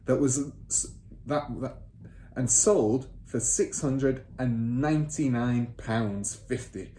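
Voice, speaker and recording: A man voicing a boastful, bullying father and crooked car dealer in a children's storybook, loud, pompous and emphatic. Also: medium pitch, slow pace, lightly acted. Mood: smug, emphatic. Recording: roomy echo, faint background noise.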